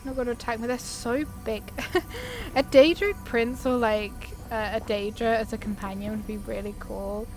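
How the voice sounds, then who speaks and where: A woman's voice: on the high side, normal speed. A woman doing casual let's play commentary, reacting to the game in a light, chatty way. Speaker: gaming video creator. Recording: clear game music behind voice. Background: game music.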